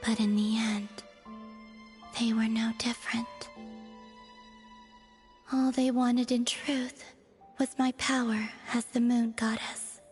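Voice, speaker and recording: A woman playing a weary, melancholy young moon goddess in a fantasy video game, speaking in a soft, breathy near-whisper with solemn, measured phrasing. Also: on the high side, little pitch movement. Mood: quiet disillusionment. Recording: background music under the voice.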